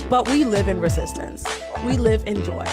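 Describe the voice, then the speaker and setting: Fervent woman over loud hip hop. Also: medium pitch, normal speed. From Puerto Rico. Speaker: video creator. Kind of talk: impassioned vlog monologue. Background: hip hop music.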